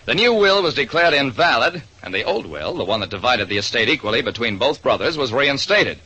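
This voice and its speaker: Brisk radio private eye, animated delivery. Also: male voice, medium pitch, breathy edge, full character voice. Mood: dramatic narration. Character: wry male private detective narrating his case. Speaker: narrator. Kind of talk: 1940s radio detective acting, scripted narration. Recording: noisy vintage radio recording.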